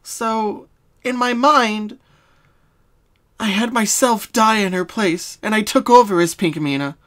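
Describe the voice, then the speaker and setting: A woman voicing a sorrowful young female cartoon pony in a fan story, speaking softly and solemnly with hesitations. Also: medium pitch, voice pushed up, lightly acted. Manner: soft, solemn, halting. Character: sad young female pony confessing her hidden identity.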